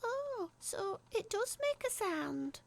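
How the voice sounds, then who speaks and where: A woman voicing a stroppy, tearful young girl with a small, high voice in an audio horror story. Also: very animated, takes it slowly, vocal fry, full character voice. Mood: puzzled. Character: stroppy, sobbing little girl, a young niece.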